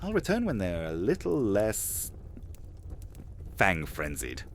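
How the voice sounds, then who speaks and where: Man playing a scheming alchemist vampire. Low, hugely animated delivery. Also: takes it slowly, slight background noise, exaggerated voice. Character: scheming male vampire alchemist, theatrical and sly. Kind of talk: fantasy audio drama acting.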